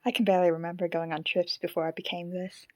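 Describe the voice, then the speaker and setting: A woman voicing a curious, dryly teasing haunted female marionette in an audio drama, with measured delivery. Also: lowish voice, level tone, no echo, lightly acted.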